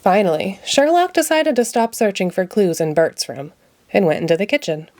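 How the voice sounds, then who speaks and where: A woman's voice: mid-range voice, expressive, normal speed, a little mellow. A woman narrating a children's picture book as a read-aloud. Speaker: storybook reader.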